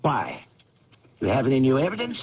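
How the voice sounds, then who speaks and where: An actor in an old-time radio drama playing a puzzled, defensive doctor challenging the police. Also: male voice, mid-range voice, wildly swooping pitch, moderate pace, slightly rough, full character voice. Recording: faintly noisy, dull old radio recording.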